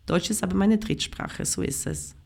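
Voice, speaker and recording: A woman from Sweden with a Swedish accent, a writer and performer reading her own comic prose aloud with a wry, self-mocking, deadpan delivery. Swedish is her first language. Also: medium pitch, normal speed, creaky.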